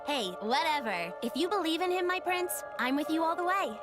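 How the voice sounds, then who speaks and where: A woman's voice: very high voice, lively intonation, a little fast, music in the background. A woman playing a breezy, teasing, loyal companion in a game, talking casually. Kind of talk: voiced game cutscene acting.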